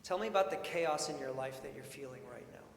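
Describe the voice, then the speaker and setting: A man preaching a sermon in an informal, expressive way, with storytelling and questions repeated for emphasis. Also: medium pitch, fast talker, gravelly. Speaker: preacher, priest. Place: large indoor church sanctuary. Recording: echoey church hall, long reverb, faint noise.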